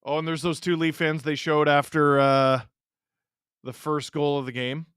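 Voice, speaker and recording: A man's voice: on the high side, flat delivery, normal speed, fairly bright tone, smooth, dry recording, studio quality. A man in his thirties from Canada hosting a live hockey watch-along, joking with chat and reacting to plays. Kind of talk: casual live-stream commentary.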